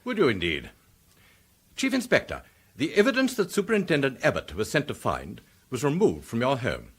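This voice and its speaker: An actor playing a stern, haughty senior police chief, speaking in a cold, clipped, imperious manner in a radio drama. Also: male voice, mid-range voice, huge pitch swings, normal speed, husky. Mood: interrogating. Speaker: police commissioner figure.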